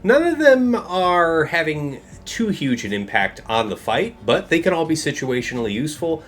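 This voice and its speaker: A man's voice: on the high side, sing-song, moderate pace, fairly bright tone. A man in his forties with a stuffed-up head cold, casually explaining a mobile game build from his desk, reading out ability text as he goes. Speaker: game guide creator. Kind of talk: mobile game strategy guide. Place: small room indoors.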